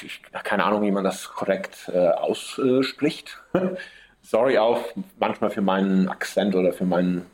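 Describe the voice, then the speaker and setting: A man with an Italian accent, a guest musician answering interview questions casually, pausing often with fillers and restarts. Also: fairly low pitch, a little mellow. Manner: hesitant, many fillers and restarts.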